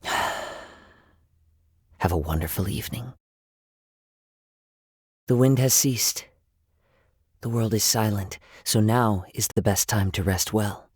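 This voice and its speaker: A man voicing a gentle, contemplative young wandering samurai for a video game, speaking in measured, poetic, formal phrases. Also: lowish voice, slightly rough, no room sound, clean studio sound. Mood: serene. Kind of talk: scripted video game character voice lines.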